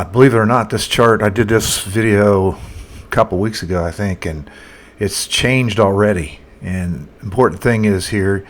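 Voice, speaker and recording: A man presenting a casual, unscripted chart commentary as a market analyst, thinking aloud in a halting way. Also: on the low side. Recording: noticeable background noise.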